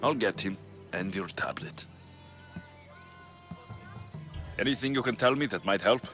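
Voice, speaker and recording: Man playing a deep, forceful mercenary hero. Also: fairly quick, close to an everyday voice. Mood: confident, questioning. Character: confident, tough Greek mercenary hero. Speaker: video game protagonist. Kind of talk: video game cutscene acting. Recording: game dialogue over background music.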